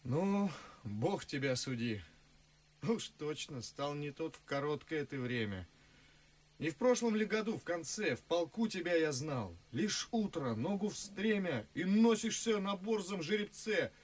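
A man playing an ardent, ironic, teasing young gentleman in a classical verse radio play. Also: on the high side, sing-song, measured pace. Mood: ardent reproach. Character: witty, excitable, mocking young gentleman.

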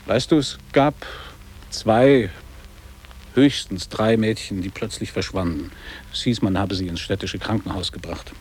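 An actor playing a guarded, wistful middle-aged man looking back on boarding school in a measured, literary radio play delivery. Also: on the low side, darkish tone, a little hiss or hum, lightly acted. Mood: insistent, remembering. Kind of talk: German radio play acting.

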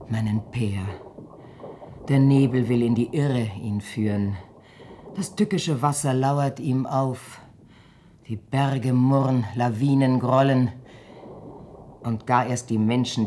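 An actress playing a worn, doting old peasant mother, husky and lamenting, declaiming in a radio verse drama. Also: very deep voice, warm tone, slight background noise. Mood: fearful, grim. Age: older.

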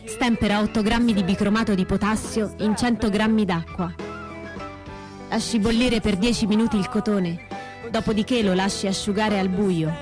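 Radio theatre actress as young female revolutionary: earnest, resolute, measured short phrases, prominent music underneath. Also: mid-range voice, steady tone, natural voice. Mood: matter-of-fact instructing. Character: young woman militant of the Red Brigades, resolute and earnest.

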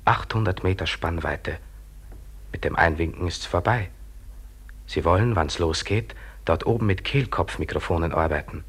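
An actor in a German radio drama playing an insistent companion who urges a trapped worker to endure, in short clipped phrases. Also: male voice, deep voice, moderate pace, fairly bright tone, slightly rough, heavily acted. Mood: coaxing, steady.

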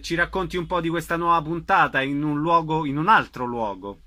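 Man, radio interviewer, bright tone, slightly high pitch. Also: a little fast, slight background noise. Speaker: radio host.

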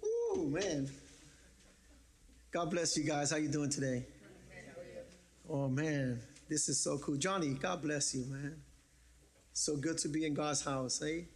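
A man preaching in a loose, joking, conversational way, his voice sitting a bit high for a man. He teases the congregation and then settles into prayer without losing his easy manner. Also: very animated, takes it slowly, pauses often. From the Bronx, New York. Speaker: pastor. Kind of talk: sermon.

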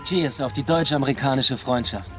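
An actor playing an evasive police detective with a troubled love life in a naturalistic German radio drama. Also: male voice, medium pitch, fairly quick, fairly bright tone, natural voice. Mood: dry, reserved. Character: male police detective, evasive, pleading lover. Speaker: detective character. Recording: radio drama with music underneath.

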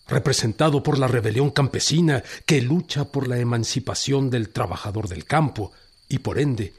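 An actor playing a Mexican revolutionary leader, with solemn, declamatory oratory in a radio drama. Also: male voice, lowish voice, husky. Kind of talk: radio drama historical speech.

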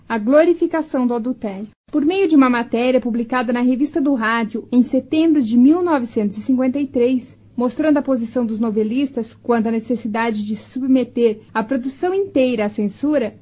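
A woman's voice: on the high side, a little mellow. A Brazilian woman reading scripted narration as a radio documentary narrator. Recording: dull, band-limited audio.

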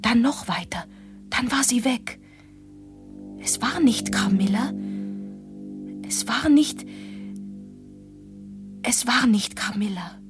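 An actress playing a reflective young woman who narrates a radio drama in the first person, hushed, deliberate and suspenseful. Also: fairly high pitch, lively intonation. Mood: haunted, fearful. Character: young woman recounting an eerie night, haunted, reflective. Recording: music under the voice. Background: clear music.

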